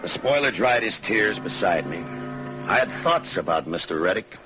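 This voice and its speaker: An actor playing a terse, deadpan American homicide lieutenant in an old radio noir drama. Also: male voice, sits low, normal speed, over music. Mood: dry narration. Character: hard-boiled laconic homicide police lieutenant. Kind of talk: 1940s-50s radio noir acting and narration. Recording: muffled, noisy old radio recording.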